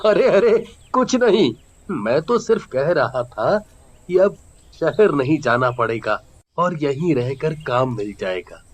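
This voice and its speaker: A man's voice: fairly high pitch, animated, slow. A man voicing an earnest, plain-spoken village workman in cartoon story dialogue. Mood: chatty.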